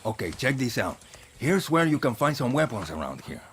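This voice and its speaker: An actor playing a nervous turncoat army guard who hands over information in a video game. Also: male voice, medium pitch, big pitch swings, a little fast, background noise, lightly acted. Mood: wary. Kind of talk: video game cutscene acting.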